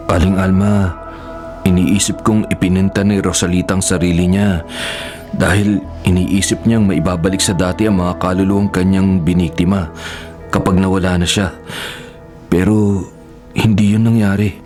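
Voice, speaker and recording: An actor as a solemn, perceptive man in a radio horror drama. His voice is on the low side, earnest and deliberate, with music clearly behind him. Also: little pitch movement, natural voice. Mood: grave, explaining. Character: grave, perceptive man advising on spirits and rituals.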